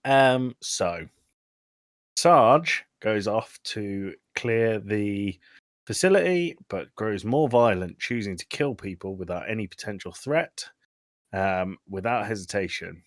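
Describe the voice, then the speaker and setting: A man's voice: on the low side, expressive, slow, dry recording. A man hosting a podcast, casually recapping a film with informal, sweary, dry humour. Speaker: podcast host.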